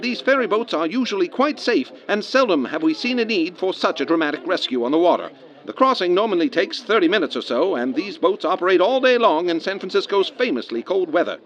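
High-voiced vintage radio field reporter. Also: male voice, big pitch swings, fairly quick. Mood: concerned, observant. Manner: descriptive, hesitant, earnest. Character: earnest 1930s male radio field reporter at a disaster. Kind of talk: 1930s live radio news commentary. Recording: dull vintage radio sound.

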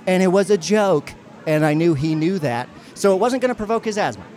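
A man's voice: high voice, lively intonation, fairly quick, darkish tone, a faint crowd. A man playing a cocky, teasing teenage boy at camp in an audio drama, with flippant, slangy talk. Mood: defensive.

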